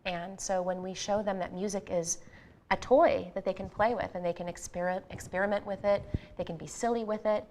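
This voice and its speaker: A woman's voice: medium pitch, steady tone, brisk, a little mellow, a little hiss or hum. A woman guest on an interview show, explaining her music program fluently and earnestly. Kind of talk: interview answers.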